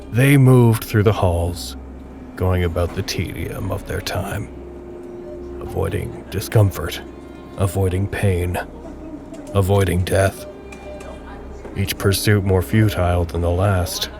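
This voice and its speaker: A man narrating a dark audio drama in a measured, literary, grave and detached manner. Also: low-pitched. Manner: measured, literary, solemn storytelling.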